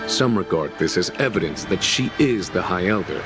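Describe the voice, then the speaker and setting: An actor playing a calm, genial general in a video game, speaking in measured, eloquent and formal words. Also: male voice, low voice. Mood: measured, shrewd. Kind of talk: video game cutscene acting. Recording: music behind the voice.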